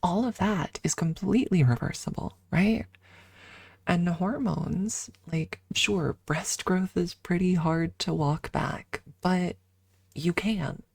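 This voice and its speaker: Female ASMR streamer: lowish, creaky voice, soft casual chat slipping in and out of whispers, close-miked. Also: dry recording. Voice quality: breathy, soft. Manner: halting, informal, drifting between whisper and soft talk. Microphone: close microphone.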